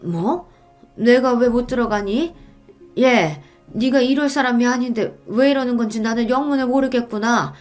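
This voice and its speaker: Indignant older mother played by a female narrator, high, pleading, reproachful, for radio-story drama over faint music. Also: normal speed, a little mellow, lightly acted. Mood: hurt, indignant. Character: wronged, indignant elderly mother-in-law.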